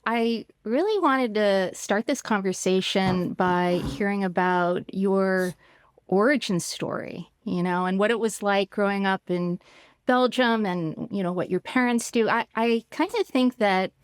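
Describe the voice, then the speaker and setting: Woman, middle-aged, podcast host, conversational, medium pitch, dry recording. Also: clear, resonant tone, no room sound. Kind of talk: conversational interview. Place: indoor room at home or office, video call.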